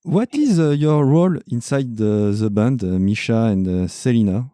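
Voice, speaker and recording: A man's voice: medium pitch, expressive, moderate pace, dark tone, clean tone, no room sound, studio quality. A man with a French accent hosting a podcast interview in English, hesitant with many fillers. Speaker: podcast host. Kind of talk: casual interview.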